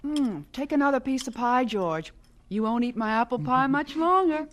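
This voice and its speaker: Motherly fretting wife in a radio play, highish voice, swoops around, exclaims a lot. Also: animated, normal speed. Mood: affectionate. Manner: fussing, exclaiming. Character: motherly, caring, anxious married woman. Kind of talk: radio drama acting.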